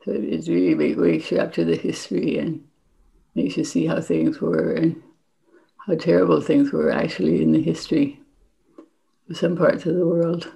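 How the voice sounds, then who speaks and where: A woman's voice: low-pitched, little pitch movement, normal speed, gravelly, mellow. An older woman speaking reflectively as a guest in an interview. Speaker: interview guest, memoir author and former NGO worker. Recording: dull, lo-fi recording.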